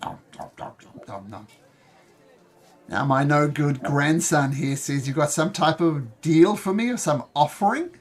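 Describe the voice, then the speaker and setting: A man with a croaky cold voicing a sharp-tongued, greedy old grandmother in a tabletop game. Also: lively intonation, a little slow. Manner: scolding, shrill and loud.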